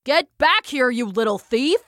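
A rough, mocking burly workman, as a woman storyteller puts him on. He talks slow and heavy, with plenty of swing in his voice. The sound is close and dry. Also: animated, fairly bright tone, no echo. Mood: gruff mocking. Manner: gruff, mocking, lowered voice.

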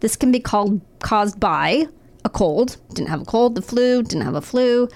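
A woman's voice: on the high side, moderate pace. Woman co-host, sardonic and profane, reads medical notes aloud while recovering from throat surgery. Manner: wry, profane, deadpan asides while reading. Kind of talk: casual chat, reading medical info aloud.

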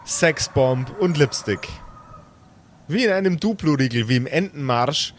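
A man narrating an improvised role-play story as game master, measured and pausing to find his words. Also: on the high side, slight background noise.

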